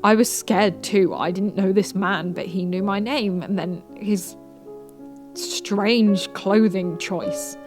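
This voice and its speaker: A woman narrating a scary story in a measured manner with dramatic pauses. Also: mid-range voice, fairly even intonation. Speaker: narrator reading submitted scary stories. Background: music.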